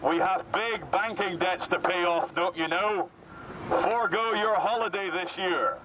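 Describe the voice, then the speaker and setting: High-pitched man barking through a megaphone. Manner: mock-authoritative, commanding, deliberate, deadpan. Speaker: street performer activist. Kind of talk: satirical megaphone address to passers-by. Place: outdoors on a busy city street. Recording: noisy outdoor city street, traffic. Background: traffic and street noise.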